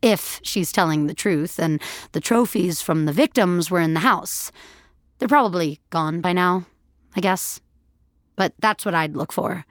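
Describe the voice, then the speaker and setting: A woman's voice: fairly low pitch, measured pace, dry recording, studio quality, natural voice. A woman narrator voicing a guarded, politely nervous young woman in an audio drama, speaking hesitantly.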